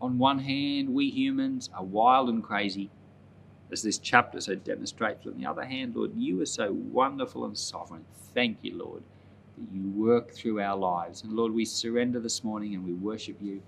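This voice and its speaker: A man's voice: fairly low pitch, normal speed, vocal fry, a bit bright, poor audio. Conversational male pastor with background noise. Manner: conversational, emphatic, often repeats phrases. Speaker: pastor and Bible podcast host. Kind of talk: informal Bible commentary and prayer.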